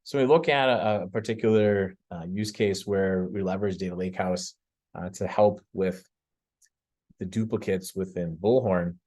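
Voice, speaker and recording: A man giving an explanatory business presentation in a conversational, halting way, often repeating words as he thinks. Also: sits low, a little mellow. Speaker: company presenter.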